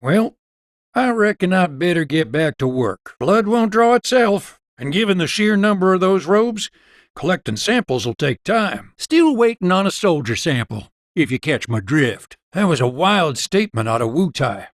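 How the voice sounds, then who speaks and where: A folksy, wry country doctor from a video game, speaking in an easygoing Southern US drawl. His voice sits a little high for a man and moves up and down expressively. The recording is dry and close. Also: very animated, normal speed, no echo. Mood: teasing, warm. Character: folksy, wry small-town doctor and researcher. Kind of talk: character dialogue in a video game.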